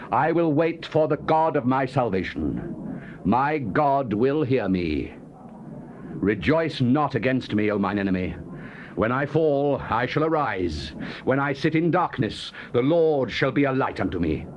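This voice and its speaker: Dramatic male scripture reader on noisy tape. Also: fairly high pitch. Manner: solemn, declamatory, oratorical. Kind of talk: dramatized King James Bible reading. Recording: dull, noisy old cassette tape recording.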